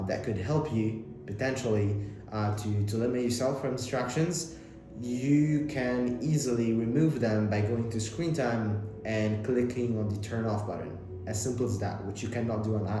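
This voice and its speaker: A young man from Lebanon whose first language is Arabic, giving casual self-improvement advice to the camera, talking fast with many restarts and fillers. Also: medium pitch. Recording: very echoey room with clear background noise.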